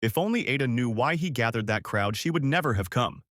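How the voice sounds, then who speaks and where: A man's voice: mid-range voice, lively intonation, brisk, a bit bright, no room sound, clean studio sound. A man narrating a scripted story dramatically, with suspenseful, emphatic short phrases.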